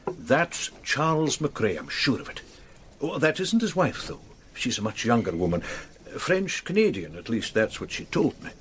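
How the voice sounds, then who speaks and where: An actor playing a prim, talkative bank manager in a radio drama, explaining things in a measured, businesslike way. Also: male voice, medium pitch, sing-song, a bit bright, a little hiss or hum. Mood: confiding.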